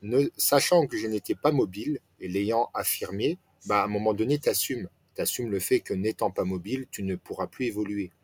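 A man's voice: lowish voice, normal speed, few pauses, a little mellow, husky. A man in his forties from France, telling his own story casually as an interview guest, asking himself questions and answering them. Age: 40s.

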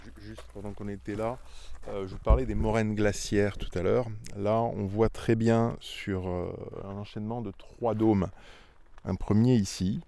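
A man's voice: lowish voice, vocal fry, dark tone. A middle-aged man from Auvergne, a winemaker explaining his vineyards in a casual interview, thoughtful and often repeating words as he goes. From Auvergne, France. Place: outdoors among vineyards.

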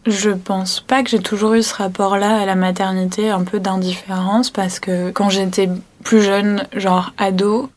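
A woman's voice: medium pitch, flat delivery, measured pace, darkish tone. A student in her twenties, a woman giving a thoughtful, hesitant personal testimony. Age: 20s. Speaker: student interviewee.

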